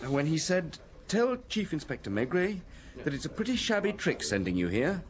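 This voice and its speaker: A dutiful police detective in a radio drama, chatty yet matter-of-fact. His voice sits a little high and moves with lively swings as he reports. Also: moderate pace, a little hiss or hum. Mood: reporting briskly. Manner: conversational, matter-of-fact, mimics quoted speakers.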